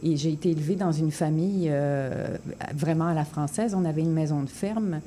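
A woman's voice: sits low, moderate pace, dark tone, slight background noise. A woman from Quebec, a poet guest in a radio interview, talking hesitantly with many fillers and restarts. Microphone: studio microphone.

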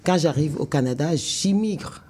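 A woman with a Senegalese accent, an academic guest explaining terms in a measured, didactic way, step by step. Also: low-pitched, expressive, a little mellow, breathy edge. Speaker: law professor, expert guest. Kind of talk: explanatory interview answers.

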